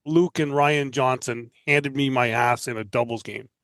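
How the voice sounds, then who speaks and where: A man's voice: mid-range voice, fairly even intonation, normal speed, a bit bright, no echo. A man from Canada chatting casually as a guest on a podcast. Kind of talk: casual chat.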